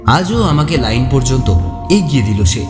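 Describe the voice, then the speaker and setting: Male narrator, dramatic horror storytelling, lively pitch swings, suspenseful, echoey reverb. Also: normal speed. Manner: expressive, suspenseful. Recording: echoey long reverb, faint music behind. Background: faint music.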